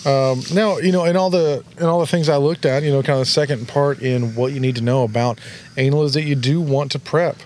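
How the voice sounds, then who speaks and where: A man's voice: medium pitch, a little fast, a little mellow, slight background noise. Easygoing man explaining on a podcast. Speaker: podcast co-host. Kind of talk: casual chat.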